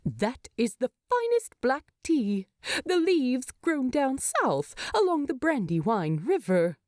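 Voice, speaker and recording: A fussy little storybook hobbit gentleman, voiced by a woman, proud and chatty. He explains things primly and earnestly, with a pitch that leaps about in a dry, close recording. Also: big pitch swings, slow pace, dry recording, exaggerated voice. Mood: peeved, proud.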